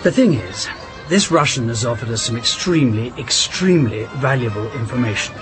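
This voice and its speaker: An actor playing an urbane, persuasive English diplomat and husband in a radio drama. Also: male voice, medium pitch, lively intonation, a little fast, over music, close to an everyday voice. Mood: coaxing, grave. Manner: urbane, old-fashioned, hesitant when coaxing. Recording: clear noise behind the voice.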